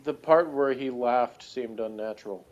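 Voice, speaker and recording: A man with a mid-pitched voice rambles through a casual review discussion. He talks in a halting, wordy way, circling his points. The sound is a little dull and lo-fi. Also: crowd noise. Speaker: podcast co-reviewer.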